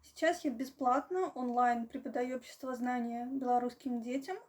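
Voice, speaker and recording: A woman's voice: on the high side, fairly even intonation, normal speed, darkish tone. A woman from Belarus, a social researcher, composedly describing her free online teaching. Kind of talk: short personal testimony.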